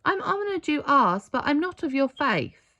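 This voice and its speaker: Woman acting breathless, wistful old survivor, lifted pitch. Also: sing-song, sharp tone, muffled recording, exaggerated voice. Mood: gracious. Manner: breathless, halting, heartfelt.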